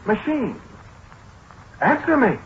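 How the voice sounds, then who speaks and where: An actor playing a flustered, earnest, halting man in a 1940s radio drama. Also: extremely high pitch, big pitch swings, highs cut off, full character voice. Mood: agitated, pleading. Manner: hesitant, halting, stammering. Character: flustered, earnest man convinced his machine talks. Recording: noisy, band-limited 1940s radio recording.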